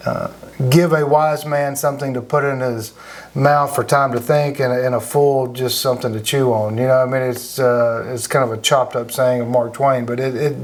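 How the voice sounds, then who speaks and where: A man's voice: medium pitch, darkish tone. A man hosting a casual conversational interview, talking in a rambling, reflective way. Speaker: interview host.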